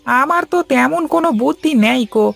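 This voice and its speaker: Man acting a creaky, folksy cartoon villager. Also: very high-pitched, brisk, fairly bright tone, dry recording, heavily acted. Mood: cautious. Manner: colloquial, rustic turns of phrase. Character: folksy, inquisitive village fellow, chatty and plain-spoken. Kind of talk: Bengali cartoon moral-story voice acting. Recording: music behind the voice.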